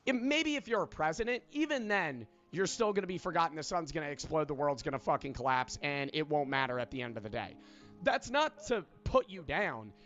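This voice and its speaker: A man's voice: a little high, expressive, fairly quick, a bit bright, soft background music. A man in his twenties giving an emphatic motivational monologue about living for yourself, swearing often. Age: 20s. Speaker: online video creator. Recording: dull lo-fi sound from a played video.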